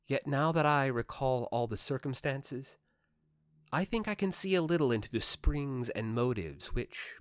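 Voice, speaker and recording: Low-voiced man narrating a classic novel, dry sound. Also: no room sound. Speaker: audiobook narrator. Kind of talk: scripted literary narration, first-person classic novel.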